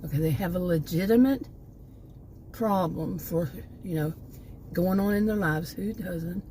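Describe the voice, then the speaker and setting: A woman in her sixties, slightly hoarse from losing her voice, rambling through a monologue as host of her own channel and often stopping to find her thread again. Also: fairly low pitch, unhurried, warm tone, a little hiss or hum, low-quality recording. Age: 60s.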